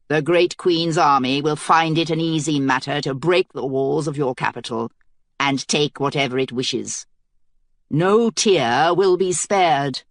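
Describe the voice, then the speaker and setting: A woman reading aloud, voicing a formal male herald who delivers an enemy queen's terms slowly. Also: low-pitched, no echo, clean studio sound, pitched below the performer's own voice. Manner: slow, pompous proclamation.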